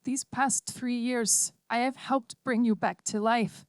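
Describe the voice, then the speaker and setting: Woman reading a six-year-old android girl, higher voice, gentle, pleading, earnest, dry close sound. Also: fairly even intonation, slow, no room sound.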